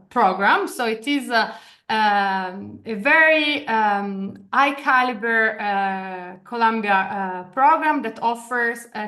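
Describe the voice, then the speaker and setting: Italian-accented woman, halting presenter. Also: on the high side, a bit bright. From Italy. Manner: hesitant, many filler sounds and restarts. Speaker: program director. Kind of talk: online information session presentation. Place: office in Venice, video call.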